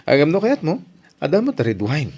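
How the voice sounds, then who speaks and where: A man's voice: fairly high pitch, huge pitch swings, brisk, darkish tone, full character voice. A man playing a mischievous, coaxing young suitor in an Ilocano audio drama, with an Ilocano Filipino accent and a bantering manner.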